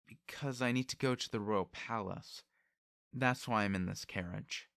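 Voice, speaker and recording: A man's voice: fairly low pitch, moderate pace, gravelly, a bit bright, dry recording, clean studio sound. A man voicing a stubborn, anxious young commoner who speaks informally and bluntly in an audiobook. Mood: cornered, subdued.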